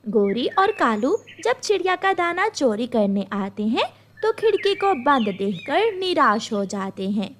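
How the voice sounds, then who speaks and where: A woman narrates a children's story in a high voice. She reads the script slowly, with very varied intonation. Slight noise can be heard behind her. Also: big pitch swings.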